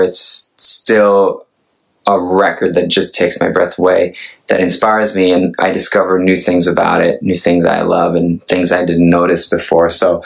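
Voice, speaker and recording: A Norwegian man in his thirties, a singer-songwriter, talking casually and thoughtfully to camera with a Norwegian accent, often pausing and restarting his sentences. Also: low voice, creaky, low-quality recording. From Norway. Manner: hesitant and thoughtful, many restarts.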